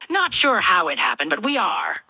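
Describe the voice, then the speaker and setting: A woman's voice: fairly low pitch, huge pitch swings, normal speed, pushed and bright, husky, no echo, exaggerated voice. A woman playing a small male alien commander, smug and teasing but affectionate, in a fan fiction dub. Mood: tender, smitten. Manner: teasing, smitten, tender.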